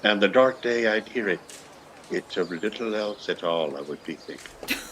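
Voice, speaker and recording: An actor playing a shabby, sharp-tongued old Irish beggar in a radio play, with a mocking, flowery, theatrical manner. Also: male voice, on the low side, expressive, normal speed, slight background noise. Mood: coaxing. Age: older. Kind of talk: radio play acting of rural Irish dialect.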